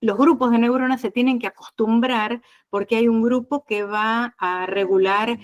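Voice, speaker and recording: A woman's voice: fairly high pitch, steady tone, normal speed. Chatty Argentine woman explaining. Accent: Argentine Spanish. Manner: chatty, explains with many fillers and restarts. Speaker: interview guest. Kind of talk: casual conversation.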